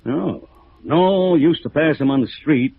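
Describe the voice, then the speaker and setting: An actor playing an older small-town sheriff in a 1950s radio drama, husky and folksy, hesitant and quietly menacing. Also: male voice, on the high side, lively intonation, dry recording, exaggerated voice. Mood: folksy, veiled warning. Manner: hesitant, folksy, drawn-out understatement. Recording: narrow, tinny old radio recording.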